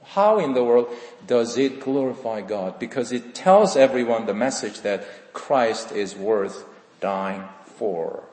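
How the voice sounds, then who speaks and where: A man from South Korea with a Korean accent, preaching a sermon in earnest, rising for stress and dropping low at the end of phrases. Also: darkish tone, slight background noise. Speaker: pastor. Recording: echoey hall with long reverb.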